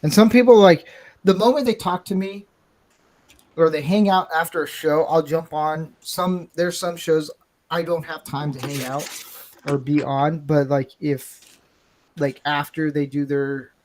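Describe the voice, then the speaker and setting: Male podcast host, blunt and rambling, self-mocking, voice sits somewhat high. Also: fairly even intonation, unhurried, a little mellow. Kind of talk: casual live-stream chat.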